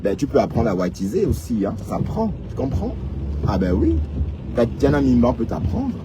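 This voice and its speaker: A man's voice: medium pitch, huge pitch swings, normal speed, heavy background noise. A man from Cameroon with a Cameroonian French accent, ranting and teasing viewers in a casual live stream, often tagging on "hein". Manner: teasing, mocking, peppered with "hein".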